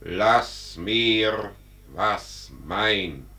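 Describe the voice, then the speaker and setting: An actor playing Death as a stern, implacable spirit in a solemn verse radio drama, imperious and curt. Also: male voice, fairly low pitch, drawn-out words, sharp tone, heavily acted. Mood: stern, commanding.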